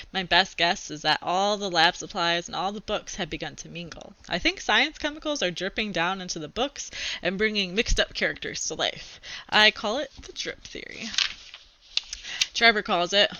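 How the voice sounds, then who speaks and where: Female teacher type reading to kids, crisp bright tone, informal explanatory delivery, slightly muffled dry home recording. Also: mid-range voice, no echo. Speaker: teacher reading a book aloud to a class. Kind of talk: children's read-aloud with casual asides.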